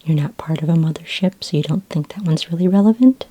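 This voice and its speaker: A woman speaking in a soft, breathy whisper as a friendly, patient librarian in a gentle ASMR roleplay. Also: on the low side, slight background noise. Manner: gentle, unhurried, helpful customer-service patter. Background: computer keyboard typing.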